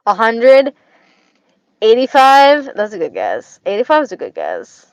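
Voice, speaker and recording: A woman's voice: on the high side, huge pitch swings, measured pace, clear, resonant tone, no echo. A young woman with an Arizona accent, chatting casually with viewers on a stream; she stutters, slurs her words and repeats herself. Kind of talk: casual chat.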